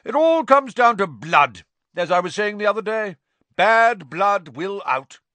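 Shrill, overbearing tipsy aunt. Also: male voice, very animated, a little slow, sharp tone, no room sound, heavily acted. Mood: smug, sneering. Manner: pompous, sneering, emphatic. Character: loud, overbearing, tipsy, spiteful middle-aged aunt. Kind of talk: audiobook character voice.

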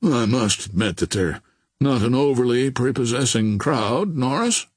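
Man as a dignified husky older gentleman. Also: mid-range voice, expressive, measured pace, no echo, studio quality. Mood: calm, reassuring. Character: dignified, fair-minded older wealthy gentleman.

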